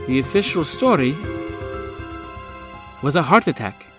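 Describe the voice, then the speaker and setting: A man voicing a troubled, guarded academic woman in a tabletop game, speaking in an earnest, measured way. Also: a little high, lively intonation. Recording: music behind the voice.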